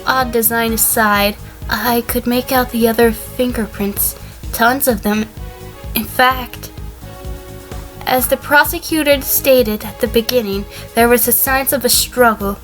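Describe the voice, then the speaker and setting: A woman's voice: fairly high pitch, fairly even intonation, unhurried, fairly bright tone, soft background music. A woman playing an evasive, defensive sheep on the witness stand in a fan courtroom audio drama, explaining carefully.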